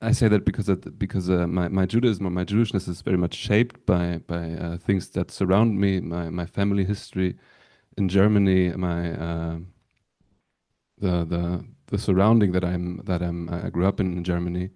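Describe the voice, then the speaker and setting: A young man, a student guest, answering haltingly and repeating words. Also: sits low, flat delivery, mellow. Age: young adult.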